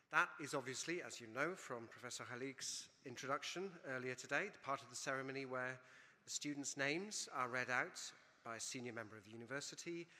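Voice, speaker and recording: Welsh-accented middle-aged man, bright voice, playful, emphatic ceremonial speech, booming hall reverb. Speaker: university provost presiding over graduation. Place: large hall at a graduation ceremony.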